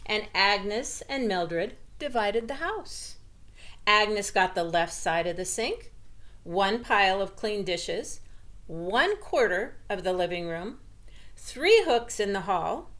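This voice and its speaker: A woman reading a children's picture book aloud in an expressive, deliberate way. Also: mid-range voice. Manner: slow, deliberate, explanatory delivery for children. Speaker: storybook reader.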